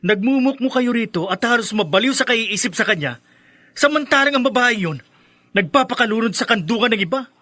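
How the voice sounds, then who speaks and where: Scornful grown son, high-pitched, bright forceful tone, sarcastic, emphatic, Filipino radio drama. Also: male voice, lively intonation, a little fast, no echo, exaggerated voice. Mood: exasperated, contemptuous. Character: spiteful, scornful grown son who despises his stepmother.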